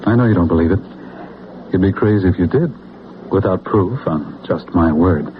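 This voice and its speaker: An actor with an American accent playing an intense, self-assured political zealot in an old-time radio drama, speaking grandly and persuasively with deliberate pauses. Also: male voice, sits low, background noise, natural voice. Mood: assured, confiding. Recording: muffled old radio broadcast with music.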